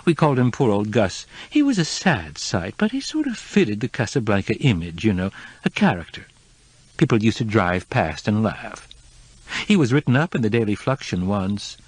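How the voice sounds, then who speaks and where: A man's voice: on the low side, sing-song, moderate pace, slightly rough, no echo. A man voicing a gossipy woman neighbor who talks in a chatty, confiding way.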